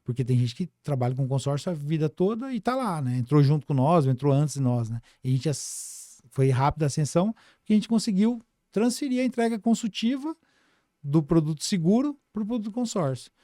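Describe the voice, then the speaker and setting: Brazilian guy chatting on a podcast, mellow voice, really dry close sound, lots of tag questions. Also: on the high side, sing-song, a little fast, no room sound. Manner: colloquial, peppered with tag questions. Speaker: podcast guest, financial services business director. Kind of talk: casual podcast conversation.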